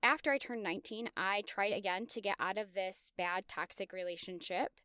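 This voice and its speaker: A woman's voice: mid-range voice, normal speed, pushed and bright, clear, resonant tone, dry recording, studio quality. A woman in her thirties hosting a podcast, telling her own story in a candid, confessional, self-reflective way. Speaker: podcast host. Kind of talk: personal storytelling monologue.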